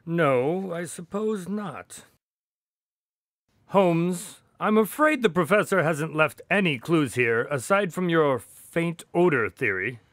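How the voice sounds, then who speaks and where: An actor playing a proper, doubting doctor and detective's sidekick in a radio drama, politely formal and dry in manner. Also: male voice, on the high side, animated, slow pace, a bit bright, dry recording. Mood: doubtful. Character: skeptical, proper male doctor, detective's long-suffering sidekick.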